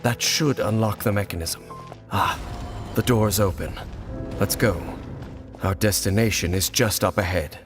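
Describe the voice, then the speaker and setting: An actor playing a stern, wary swordsman guide in a dramatic video game, grave, measured and commanding. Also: fairly low pitch, faint music behind, natural voice. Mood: calm, directive.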